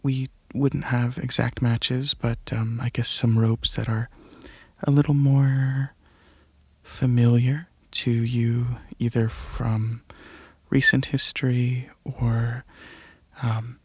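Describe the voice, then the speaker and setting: A man voices a shy alien dragon, gentle and curious, in a soft ASMR roleplay. The delivery is hesitant and earnest, with a fairly flat melody and a husky, breathy grain. The recording is very dry and close, a little muffled. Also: medium pitch, dry recording. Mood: apologetic, considerate. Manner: hesitant, halting, softly earnest.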